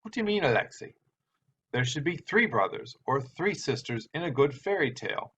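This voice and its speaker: A man's voice: on the high side, sing-song, normal speed, fairly bright tone, voice pushed up. A man voicing a grumpy, sarcastic uncle who tells stories in an exasperated, declamatory way. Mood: indignant. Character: grumpy, theatrical storytelling uncle with sarcastic temper. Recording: dull sound with background hiss.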